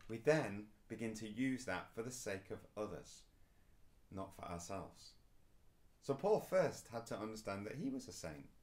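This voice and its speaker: A man, preaching a recorded sermon to camera in a small indoor room, earnest and emphatic. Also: fairly low pitch. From England. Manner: earnest, rising and falling emphasis, gestures while speaking. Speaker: pastor.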